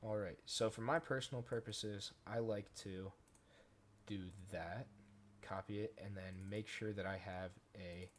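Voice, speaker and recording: A man casually talking through a software tutorial step by step, in an informal way. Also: lowish voice, steady tone, unhurried. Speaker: tutorial host, gaming content creator. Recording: noisy home recording with keyboard clicks.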